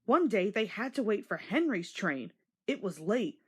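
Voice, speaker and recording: A woman's voice: medium pitch, sing-song, a little slow, husky. A woman narrating a scripted children's storybook as a read-along.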